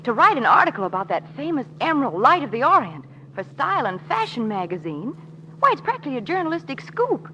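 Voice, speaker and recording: A woman's voice: high-pitched, big pitch swings, fast talker, pushed and bright, full character voice. An actress playing an eager, plucky society lady in a 1940s radio drama, chatty and pleading. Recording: dull, faintly noisy vintage 1940s radio recording.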